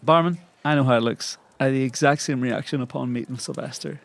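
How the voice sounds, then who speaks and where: A man's voice: medium pitch, fast talker, creaky, close to an everyday voice. A man playing an anxious, self-important optometrist in an improvised comedy role-play, with a fussy, pompous delivery. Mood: earnest, pompous.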